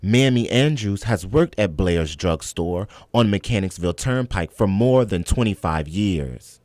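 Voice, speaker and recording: Male American news reporter, scripted narration, animated intonation, close dry sound. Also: on the low side, a little slow, no echo.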